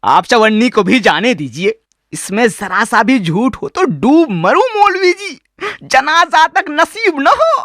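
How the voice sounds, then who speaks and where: A man's voice: extremely high pitch, very animated, fairly bright tone, no echo, clean studio sound, full character voice. A man playing a wheedling village cobbler in a radio drama, fawning and pleading as he protests. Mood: tearful pleading.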